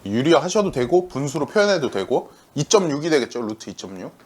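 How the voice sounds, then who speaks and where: Male math teacher, high and sing-song, talks his sums out loud, faint hiss behind. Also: brisk. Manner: lively, asks questions and answers them himself; talks through arithmetic aloud. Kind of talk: classroom math lecture.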